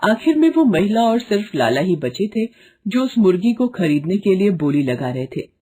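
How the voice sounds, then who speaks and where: Female storyteller for children's fables: lowish, mellow, husky voice with expressive delivery. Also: normal speed. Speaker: storyteller narrator. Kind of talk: scripted children's story narration.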